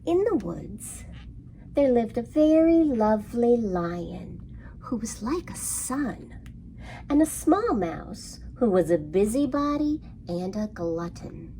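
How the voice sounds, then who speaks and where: Slow-paced woman storytime host, creaky voice. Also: a little high, sing-song, warm tone, slight background noise, poor audio. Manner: sing-song, asking questions of young listeners. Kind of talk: interactive storytime for young children.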